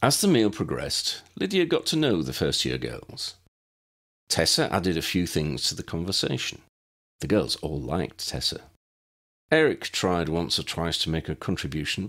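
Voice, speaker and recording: A man's voice: low voice, a bit bright. A man narrating a scripted audiobook story.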